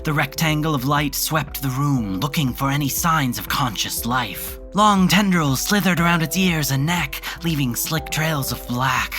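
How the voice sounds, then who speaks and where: A woman narrating a horror audio drama in a measured, literary storytelling manner. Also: deep voice, fairly bright tone. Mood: ominous. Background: music.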